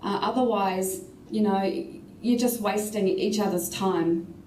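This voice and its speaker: A woman with a New Zealand accent speaking as a panelist, measured and thoughtful, with frequent "you know" and "um" fillers. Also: medium pitch, mellow, roomy sound, recorded from a distance, slight background noise, low-quality recording. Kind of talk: casual panel discussion.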